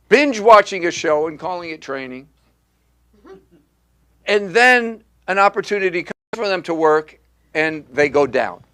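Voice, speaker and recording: Man, acting coach, slightly high voice, punchy repetitive delivery, live class in a studio. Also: fairly bright tone. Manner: emphatic, repetitive, punchy call-and-response teaching.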